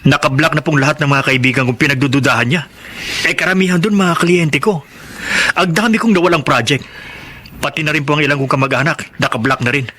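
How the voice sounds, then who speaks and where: A man's voice: a little high, fairly even intonation, fast talker, sharp tone. A man acting a frustrated married father, respectful but exasperated, in a Filipino radio drama.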